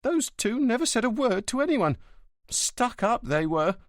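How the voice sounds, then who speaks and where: A shrill, wailing old concierge woman, as a male narrator puts her on, her voice jumping very high and swinging wildly as she gossips with theatrical alarm. The sound is dry and close. It is a character voice in a read-aloud crime story. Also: sing-song, measured pace, no echo, heavily acted. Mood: indignant. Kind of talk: audiobook character voice.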